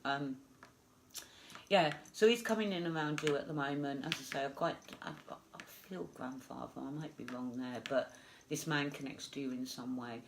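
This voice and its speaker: A woman's voice: very deep voice, normal speed, slight background noise, poor audio. A woman with a stuffed-up voice from a flu, giving a chatty live psychic reading with many fillers. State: congested with flu. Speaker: psychic medium.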